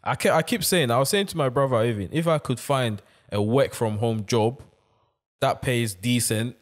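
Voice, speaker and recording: Man, London accent with Ghanaian touches, relaxed slang, casual podcast chat. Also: medium pitch. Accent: London English with Ghanaian touches. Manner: laid-back London slang, drawn-out storytelling. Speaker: podcast co-host.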